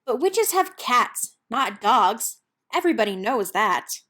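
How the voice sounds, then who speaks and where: A woman's voice: on the high side, very animated, unhurried, fairly bright tone, heavily acted. A woman voicing a young trick-or-treating girl in a storybook, bossy but a little nervous. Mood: bright, assertive.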